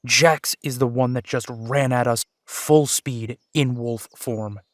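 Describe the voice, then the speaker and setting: A man's voice: mid-range voice, fairly even intonation, slow pace, dry recording, close to an everyday voice. A man playing a big, blunt, defensive werewolf brother in an audio roleplay, exasperated and bickering. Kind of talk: roleplay audio drama acting.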